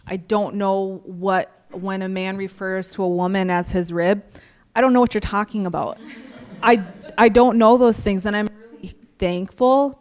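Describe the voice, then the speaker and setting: A young woman speaking slowly and reflectively on a panel, with frequent pauses and restarts. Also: medium pitch, clean tone. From Dakota community, US. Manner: slow, thoughtful, many fillers and restarts. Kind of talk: reflective personal talk.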